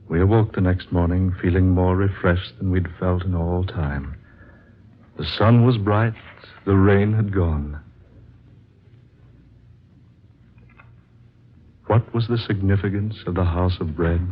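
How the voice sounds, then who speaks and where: A low-voiced, earnest traveling storyteller. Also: male voice, slight background noise. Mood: reflective. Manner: earnest, theatrical, measured declamation. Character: earnest, awed male traveler and storyteller, weary pilgrim. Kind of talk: 1940s American radio drama acting. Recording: muffled vintage radio recording with music.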